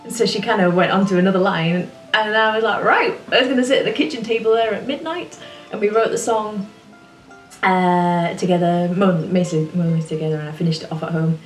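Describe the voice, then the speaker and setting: A woman's voice: medium pitch, fairly quick. A singer-songwriter woman talking casually and rambling a little about her music from her small home studio. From England. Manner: chatty, relaxed, rambling. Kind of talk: casual talk to camera. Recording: roomy, a little distant from the mic, music behind.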